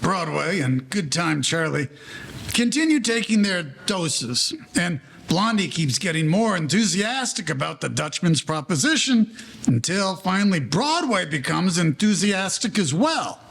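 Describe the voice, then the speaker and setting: A man playing the wry storyteller of a 1940s gangster audio play, narrating in a gravelly voice. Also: high voice, very animated, a little slow, fairly bright tone, heavily acted.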